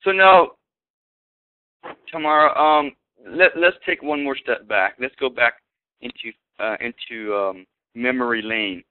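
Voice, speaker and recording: A man's voice: medium pitch, measured pace, clear, resonant tone. Man hosting radio over a tinny line. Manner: stumbling, repeats words. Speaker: radio show host. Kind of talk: phone-in radio interview. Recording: tinny phone-quality line.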